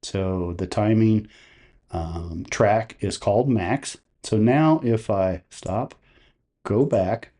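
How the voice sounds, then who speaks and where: Casting: male tutorial host, lowish mellow voice, step-by-step instruction. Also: slow. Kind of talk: step-by-step software tutorial narration.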